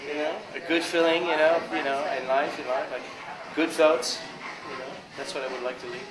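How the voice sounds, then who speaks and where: A man's voice: fairly high pitch, steady tone, fairly quick. A man from France with a French accent, chatting casually as an interviewed musician, often breaking up his phrases with "you know". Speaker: interviewed jazz guitarist. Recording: echoey space with loud music. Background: loud music.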